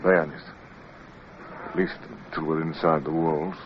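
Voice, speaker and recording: A plain-spoken British naval lieutenant in a radio sea drama, used to command. His voice is very low and gruff. The recording is dull, with a faint noise under it. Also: level tone, measured pace. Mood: steady, wary.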